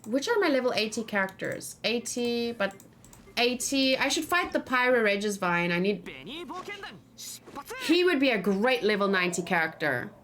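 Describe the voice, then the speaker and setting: A woman doing a casual let's play, excitable and thinking aloud, often repeating her phrases as she goes. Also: fairly high pitch, moderate pace, slight background noise. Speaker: game streamer, host. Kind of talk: casual let's play chat and live game commentary.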